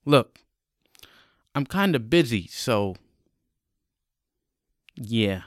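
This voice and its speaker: A man's voice: mid-range voice, sing-song, extremely slow, no room sound, studio quality. A man voicing an evasive Air Force sergeant in a dramatic story, apologetic but brusque and dismissive.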